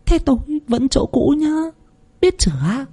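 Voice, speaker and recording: A scheming young concubine, shrill and bossy, who turns whiny and wheedling when it suits her, voiced by a male narrator. The voice sits high and leaps about, with a husky grain. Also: expressive, brisk. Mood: scornful.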